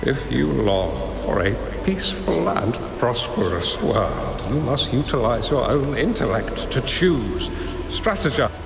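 A gravelly old hermit master. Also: male voice, medium pitch, sing-song, a little slow, crowd noise. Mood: solemn. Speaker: mentor character. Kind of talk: game cutscene voice acting. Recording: roomy reverb under game music.

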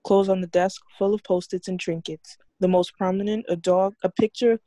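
Female script reader, mellow and dry-sounding, delivering scene directions on a video call. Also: mid-range voice, level tone, normal speed, dry recording. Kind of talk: scripted screenplay reading.

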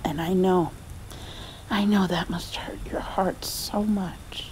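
Woman playing a slow, gentle, comforting mom. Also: lowish voice, fairly even intonation, low-quality recording. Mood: gentle, sorrowful. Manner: tender, soothing, sometimes dropping toward a whisper. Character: loving, gentle mother comforting her heartbroken grown child. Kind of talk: ASMR comfort roleplay, slow and hushed with pauses.

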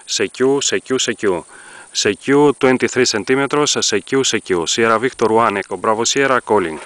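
A middle-aged man with a Greek accent works a ham radio contact outdoors, stumbling through his English with many pauses and repeats. His voice is bright and crisp. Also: mid-range voice, no room sound. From Greece. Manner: halting, many fillers and repeats.

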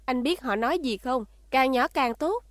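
A woman's voice: sits high, lively intonation, normal speed, no echo, studio quality. A woman playing a firm, argumentative young mother and wife in a cartoon dub. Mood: insistent. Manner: persistent, argumentative. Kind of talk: cartoon dubbing. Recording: music behind the dialogue.

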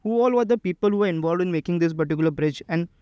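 A young man with an Indian English accent, asking brisk questions and narrating a news report. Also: high-pitched, mellow, smooth, no echo, muffled recording. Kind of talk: news interview and voice-over narration.

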